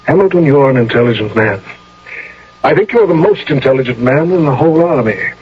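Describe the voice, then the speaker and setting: An actor playing a seasoned older general in a radio drama, speaking with formal, measured authority. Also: male voice, medium pitch, expressive, husky. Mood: firm, weary. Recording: dull, noisy old radio recording.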